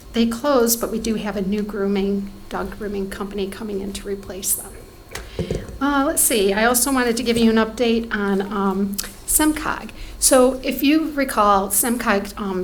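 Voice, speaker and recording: A woman's voice: mid-range voice, slight background noise. A woman giving a report at a township board meeting, talking conversationally with many ums and restarts, her voice fading from allergies.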